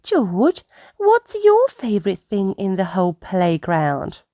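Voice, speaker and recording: A woman's voice: mid-range voice, wildly swooping pitch, takes it slowly, warm tone, dry recording, clean studio sound, exaggerated voice. A woman voicing a kindly, patient mother pig in a children's storybook. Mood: patient, asking. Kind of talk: children's storybook read-aloud.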